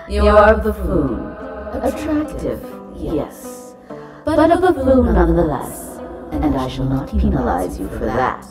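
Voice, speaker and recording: A regal, centuries-old nymph sentinel in a fantasy audio drama. Her low voice moves slowly and formally but swings widely in pitch, with an imperious bearing. Music plays behind her. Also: big pitch swings, far from the mic. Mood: cool, amused.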